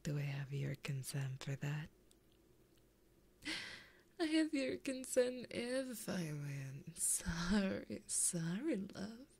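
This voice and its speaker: Breathy, whispering woman as a possessive demon lover. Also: lowish voice, very animated, darkish tone, heavily acted. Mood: flirty, taunting. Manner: slow, teasing, intimate. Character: flirty, possessive, taunting female demon lover. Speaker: ASMR roleplay performer. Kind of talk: whispered ASMR roleplay. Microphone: close binaural microphone.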